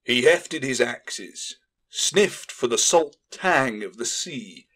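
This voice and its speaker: A man's voice: mid-range voice, animated, slow pace, a bit bright. A man reading scripted fantasy fiction as an audiobook narrator, in a dramatic storytelling style.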